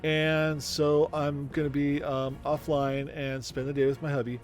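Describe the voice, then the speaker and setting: Slow, rambling man in his forties. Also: on the high side, flat delivery. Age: 40s. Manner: rambling, hesitant. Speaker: game master. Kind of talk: casual stream chat.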